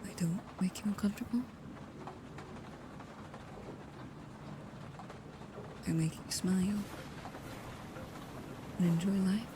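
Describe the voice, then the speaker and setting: A woman playing a devoted, anxious, shyly affectionate vampire bodyguard in an intimate ASMR roleplay, speaking softly and haltingly, often near a whisper. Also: medium pitch, natural voice. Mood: shy, hopeful. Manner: hesitant, halting, soft-spoken, often dropping to a whisper. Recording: clearly audible background ambience noise.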